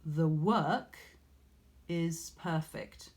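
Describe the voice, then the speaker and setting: A woman's voice: deep voice, very slow, darkish tone. A woman voicing a cold, haughty goddess, disdainful and commanding, in a dramatised storybook reading.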